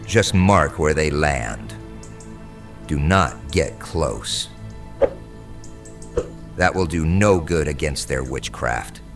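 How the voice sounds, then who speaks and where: A man voicing a stern, terse medieval troop leader with a gravelly voice in an audiobook. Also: very deep voice, expressive, slow pace, long pauses, a bit bright, pitched below the performer's own voice, full character voice. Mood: stern, contemptuous. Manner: terse, commanding. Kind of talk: audiobook character dialogue. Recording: music under the voice.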